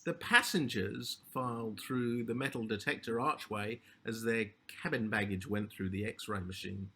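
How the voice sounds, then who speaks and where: A man narrating a literary short story as its author, in measured, deliberate phrases with a slightly husky voice. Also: on the low side, sharp tone, a little hiss or hum.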